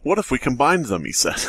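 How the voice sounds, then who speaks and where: A man's voice: mid-range voice, fairly bright tone, husky, no room sound. A man hosting a comedy podcast, reading aloud and joking in a sarcastic, sweary way. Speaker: podcast host. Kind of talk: comedic read-aloud and banter.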